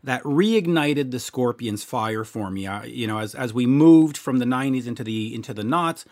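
A man's voice: medium pitch, expressive, moderate pace, a little mellow. A man interviewing a musician, asking eager questions that he restarts often.

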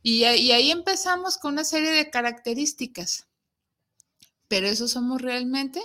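Bright-voiced Mexican woman hosting a show. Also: high voice, moderate pace. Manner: explanatory, poses rhetorical questions. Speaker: host of an interactive talk show. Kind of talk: conversational presenting to an audience.

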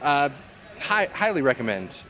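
A man's voice: on the high side, sing-song, normal speed, sharp tone. A man chatting casually about horror films as a podcast guest, emphatic, slangy and joking.

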